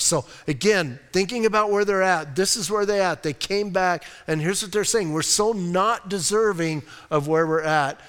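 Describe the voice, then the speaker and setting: A male pastor preaching a conversational, emphatic sermon. He asks rhetorical questions and reads scripture slowly and deliberately. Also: a little high, fairly bright tone, clean tone. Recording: reverberant hall sound.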